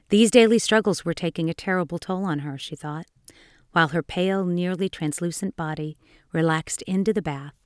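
Low-voiced woman storyteller, very dry sound. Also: no room sound. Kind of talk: scripted narration of a dark fairy tale.

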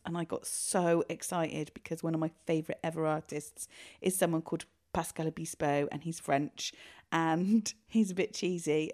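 A woman, co-hosting a music podcast and telling animated stories with laughter. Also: lowish voice. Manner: animated storyteller, laughs through lines. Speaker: podcast co-host. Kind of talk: casual chat.

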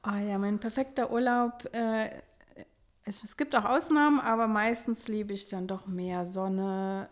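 A woman's voice: mid-range voice, steady tone, measured pace. Chatty woman. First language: French. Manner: chatty, laughs often, lots of fillers. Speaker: wedding planner. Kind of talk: casual podcast interview.

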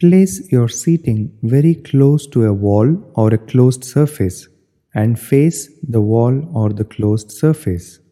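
A man with a very soft, dark tone leads a guided meditation. He speaks slowly in measured, instructional phrases with space between them. His voice carries a long, hall-like reverb. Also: mid-range voice, animated.

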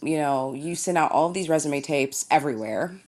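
A young woman from Indiana with a low voice and a slight rasp answers interview questions. She tells her story with an easy, storytelling flow, often breaking into laughs. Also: animated, a little fast, a little mellow. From Indiana, USA. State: slightly raspy voice. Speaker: TV news anchor, guest.

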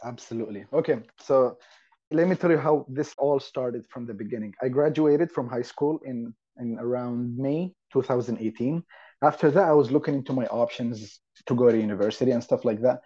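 Mellow young man with an Arabic accent. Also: mid-range voice, fairly quick, slightly rough. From Saudi Arabia. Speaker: podcast guest, university student. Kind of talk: casual personal storytelling. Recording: slightly dull lo-fi audio.

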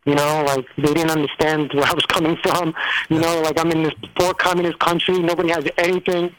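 A man's voice: fairly high pitch, level tone, a little fast, fairly bright tone, no room sound, rough recording. A man, telling his life story as an interview guest, rambling with many fillers and restarts. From New York.

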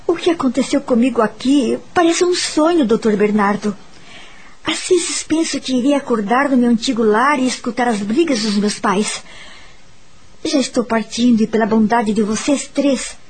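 Woman acting a high-voiced, gentle young Brazilian heroine. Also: normal speed, slight background noise, natural voice, poor audio. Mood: grateful, wistful. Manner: earnest, sentimental delivery. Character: gentle, grateful young woman, sincere and wistful. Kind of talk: Brazilian radio soap opera acting. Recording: background music under the voice.

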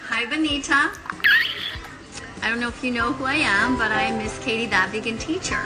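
A woman's voice: fairly high pitch, steady tone, moderate pace. A woman recording an earnest, admonishing personal video message as an activist. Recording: echoey room with loud music. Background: loud music.

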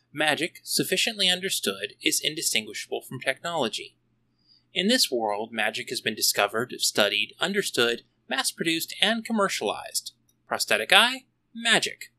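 A man presents a scripted solo review as a podcast host. His voice is bright and punchy, with lively swings in pitch. He drops in wry, tongue-in-cheek asides as he goes. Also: poor audio.

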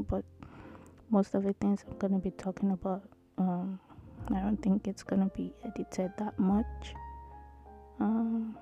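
A woman in her thirties with a tiny, soft voice hosting her own podcast, talking hesitantly with many ums. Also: medium pitch, vocal fry, lo-fi sound. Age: 30s. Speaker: podcast host. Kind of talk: casual solo podcast intro.

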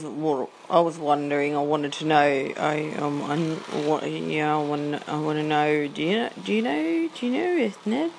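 Woman doing a creaky, halting Aussie caller. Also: sits low, a little hiss or hum, low-quality recording. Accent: Australian. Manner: halting, stammering, rambling. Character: hesitant, bumbling Aussie caller Gaza, awkwardly smitten. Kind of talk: comic phone-in message.

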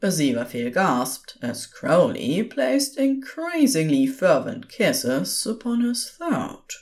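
A woman narrating scripted fan fiction as an expressive storyteller. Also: low-pitched, slow pace.

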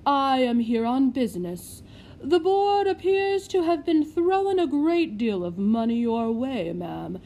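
A woman voicing a haughty, stiff aristocratic gentleman who speaks slowly and commandingly. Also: high voice, animated, pitched above the performer's own voice, low-quality recording.

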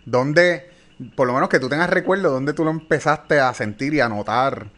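A man hosting a live interview, asking measured questions and often checking in with the guest. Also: on the high side, fairly bright tone. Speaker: interview host.

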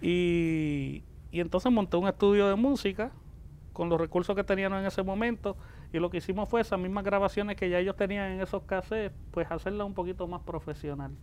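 A man's voice: high-pitched, fairly quick, darkish tone. A man in his forties with a Puerto Rican accent, telling anecdotes casually as an interview guest, with some background noise. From born in the Dominican Republic, raised in Puerto Rico from age ten. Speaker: interview guest, music producer.

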